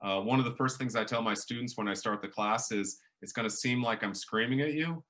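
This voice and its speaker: A middle-aged man with a big, booming voice, leading a public speaking workshop and playing with his volume and pace to make his points. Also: brisk. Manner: animated, varies volume and pace for emphasis. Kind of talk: lively workshop lecture.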